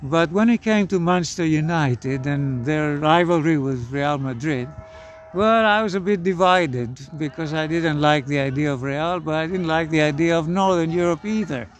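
A man in his seventies from Greece, a podcast guest telling stories in a measured, thoughtful way. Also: fairly high pitch, a little hiss or hum. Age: 70s. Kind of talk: casual conversation.

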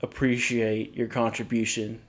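A young man co-hosting a podcast with dry, teasing humor and an easygoing manner. His voice has an ordinary male pitch and his talk stays loose and conversational. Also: flat delivery, a little slow. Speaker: podcast co-host. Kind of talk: casual podcast chat.

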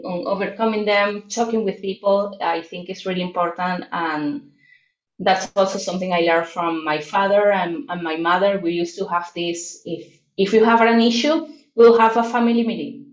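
A woman's voice: medium pitch, dull audio. Latin American woman in an echoey room. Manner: reflective, with many fillers and restarts. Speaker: guest. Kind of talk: casual interview talk.

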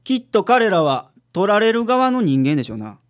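A man's voice: high voice, sing-song, normal speed. A man playing an unflappable, easygoing private detective with a Kansai accent, explaining things confidently in a radio drama. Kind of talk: community radio drama acting.

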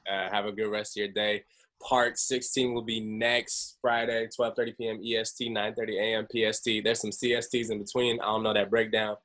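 A man's voice: fairly low pitch, a little fast. A young man hosting a group call, talking casually and acting out quoted voices with laughs. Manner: animated, acts out quotes, laughs. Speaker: host.

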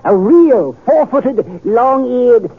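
An actor playing an excitable old miser in American 1950s radio style, theatrical and stammering, repeating his words. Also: very high-pitched, very slow, breathy edge, full character voice. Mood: giddy, jubilant. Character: excitable old miser, stricken then giddily generous. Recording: noisy, narrow-band vintage radio recording.

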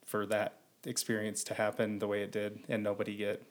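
A man's voice: on the low side, monotone, fairly quick. A man hosting a podcast, rambling with many fillers as he introduces his first guest. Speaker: podcast host. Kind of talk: casual interview conversation.

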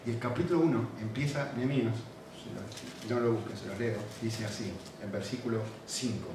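A man with a Rioplatense Spanish accent preaching a sermon, animated and repetitive, often checking in with questions to the congregation. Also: fairly low pitch, a little fast, noisy background. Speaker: pastor. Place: church. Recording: very echoey hall, mic at a distance.

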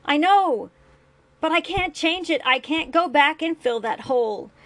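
A woman voicing a guilty middle-aged father, speaking softly and coaxingly to the son he abandoned. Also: medium pitch, moderate pace, no room sound, lightly acted. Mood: desperate.

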